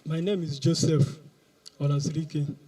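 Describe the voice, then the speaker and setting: A man's voice: on the high side, fairly even intonation, measured pace, mellow. A man in his thirties from Nigeria, with a Nigerian accent, answering questions publicly as a suspect on a handheld microphone outdoors. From Anambra, Nigeria. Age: 30s. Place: outdoors in a police compound.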